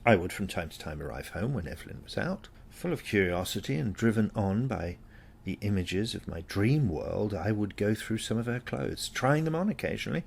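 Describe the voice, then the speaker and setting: A man reading a scripted first-person story aloud in a measured, reflective manner with deliberate phrasing. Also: on the low side, animated, gravelly, darkish tone, husky. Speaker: story reader.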